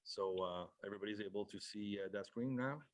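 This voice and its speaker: French canadian guy doing a webinar on a headset, lots of uhs, low voice, dry sound. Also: a little fast, no echo. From Quebec. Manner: hesitant, many fillers and restarts. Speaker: sales executive presenting a webinar. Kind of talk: casual presentation.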